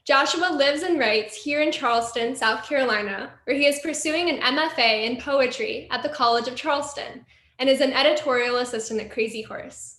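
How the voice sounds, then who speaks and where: A woman hosting a poetry reading, reading formal introductions of poets in a measured, polished way. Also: high-pitched, pushed and bright. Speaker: event host. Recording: roomy echo.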